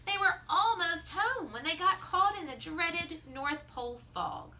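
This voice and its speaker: A woman reading a children's story aloud like a teacher, expressively, drawing out words and dropping into hushed asides. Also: very high voice, expressive, unhurried, a little hiss or hum.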